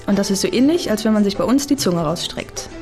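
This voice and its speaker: A woman's voice: mid-range voice, animated, a little fast, a little mellow, soft background music. A woman who has traveled, explaining foreign customs to children in a plain, chatty way.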